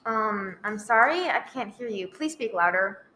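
Woman as a bright, detached telephone operator. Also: mid-range voice, lively intonation, measured pace. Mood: detached, courteous. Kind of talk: live radio play acting. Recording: echoey live room recording.

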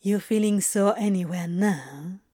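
A woman's voice: medium pitch, measured pace, mellow, no echo, lightly acted. A woman playing a mischievous, flirty male yoga instructor in a fan fiction audio drama, with a teasing, murmuring drawl. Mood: seductive.

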